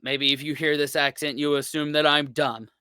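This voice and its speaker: A man's voice: a little high, fairly even intonation, moderate pace, sharp tone, smooth, dry recording, clean studio sound. A man hosting a reaction video, talking casually and rambling with lots of fillers. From United States. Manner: rambling, filler-heavy, laid-back. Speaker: reaction channel host.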